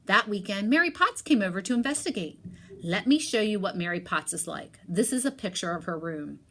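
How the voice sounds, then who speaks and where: A woman teacher reading a picture book aloud and talking to young pupils, expressive, with emphatic pauses. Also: mid-range voice, a little hiss or hum.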